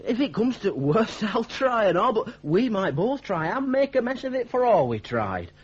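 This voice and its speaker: Gormless, soft-hearted young Northern English son from a 1980s radio play. The voice is high and husky, with wildly swinging pitch as he hesitates and rambles through his protests. The old recording sounds dull. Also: sing-song, normal speed, full character voice. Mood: plaintive. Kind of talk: 1980s British radio drama acting.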